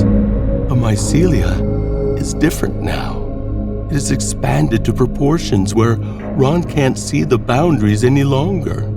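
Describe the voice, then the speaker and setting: A man narrating a scripted horror story theatrically, pausing between short phrases. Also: medium pitch, gravelly. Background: loud music.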